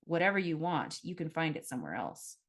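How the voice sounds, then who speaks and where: A woman's voice: sits low, big pitch swings, brisk. A woman plays a female bartender in a fantasy audiobook who stands her ground. She speaks bluntly and directly, always guarded and a little defiant. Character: confrontational young female bartender, wary and defiant.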